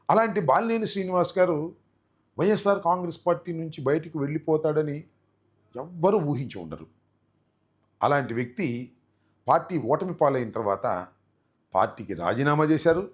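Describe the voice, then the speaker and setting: A man delivers political commentary. His pitch swings widely from phrase to phrase. He lays out each point deliberately and poses rhetorical questions to those watching. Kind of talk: unhurried political commentary addressed to viewers.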